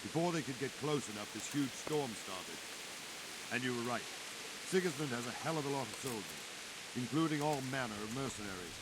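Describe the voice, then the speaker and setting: A man's voice: mid-range voice, expressive, brisk, natural voice. A man playing a dutiful, plain-spoken castle captain in a medieval video game. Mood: grim, thoughtful. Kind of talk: dramatic video game acting. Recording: loud rain and hiss behind.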